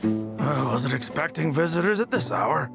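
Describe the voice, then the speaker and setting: An actor voicing a fussy, sheepish older uncle in a video game. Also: male voice, mid-range voice, big pitch swings, normal speed, gravelly. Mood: surprised, friendly. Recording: background music over dialogue.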